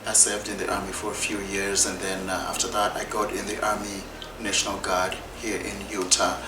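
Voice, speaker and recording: A man from Zimbabwe and South Africa telling his and his wife's story in a measured, hesitant way. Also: deep voice, little pitch movement. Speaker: husband sharing their infertility story. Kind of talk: personal storytelling. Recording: roomy, distant, noisy.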